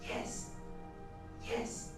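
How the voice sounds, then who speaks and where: A woman voicing an ancient, solemn machine being in a game, speaking in a measured, grave, formal way. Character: ancient female machine being, solemn soul in gilded metal body. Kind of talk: scripted game character dialogue. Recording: very echoey, distant, music behind.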